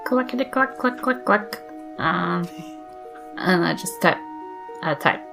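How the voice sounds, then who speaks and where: A woman with a New Zealand accent playing a tabletop game, improvising in a jokey, halting, playful way. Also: lowish voice, expressive, vocal fry, music in the background.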